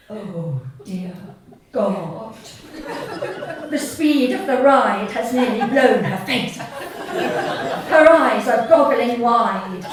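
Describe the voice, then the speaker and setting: A woman reading her comic short story live to an audience, in a deadpan, measured way with deliberate pauses. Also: a little high. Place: theatre stage at a live reading event. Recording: echoey hall, distant mic, audience noise. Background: audience laughter.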